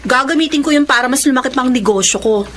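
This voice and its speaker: A woman's voice: high-pitched, fast talker, slight background noise. An actress playing a hardworking, ambitious woman in her twenties who scolds her brother and talks big plans, in an audio drama. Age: 20s. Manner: scolding, animated.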